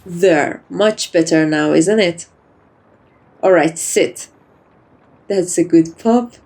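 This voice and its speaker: A woman playing a kind, dominant vampire in a soft-spoken ASMR roleplay, teasing and doting as if talking to a pet. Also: on the low side, slow. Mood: gentle, commanding.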